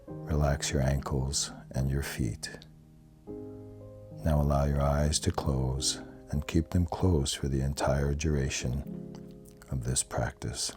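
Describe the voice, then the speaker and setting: A man with a very deep voice guides a meditation in a soothing, measured way. His delivery is slow and unhurried, with little rise or fall in pitch. He sounds like a calm relaxation instructor. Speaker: yoga nidra guide. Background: soft plucked guitar music.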